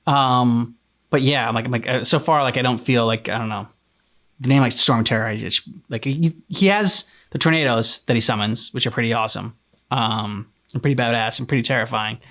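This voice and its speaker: A man with a medium-pitched voice speaks as a casual co-host of a reaction show. He talks in a loose, conversational way. His delivery is halting, with phrases broken up as he searches for words. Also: fairly bright tone. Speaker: co-host of game reaction channel. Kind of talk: casual discussion, channel chat.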